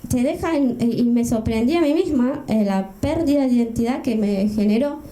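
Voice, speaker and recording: A woman's voice: on the high side, moderate pace, dark tone. A middle-aged woman from France speaking on a panel, hesitant and searching for words. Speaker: panelist, writer. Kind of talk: panel discussion. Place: event hall. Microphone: handheld mic. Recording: roomy hall.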